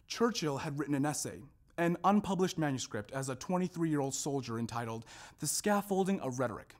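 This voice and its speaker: A man performing a scripted narration in a readers theater style, with emphatic, deliberate phrasing. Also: on the high side, animated. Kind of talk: scripted narration, staged recitation.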